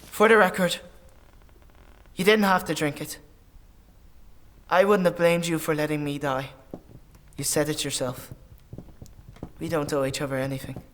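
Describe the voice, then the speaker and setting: A man playing a warrior's earnest, wary companion in a dark fantasy audio drama, plain-spoken and full of questions. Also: on the high side, steady tone, unhurried, pauses often. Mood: tense, earnest.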